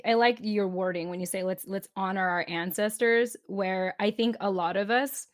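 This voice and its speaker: A woman hosting a podcast, chatty and friendly, repeating words and filling pauses with laughs and ums. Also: mid-range voice, fairly even intonation, no echo, clean studio sound. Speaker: podcast host.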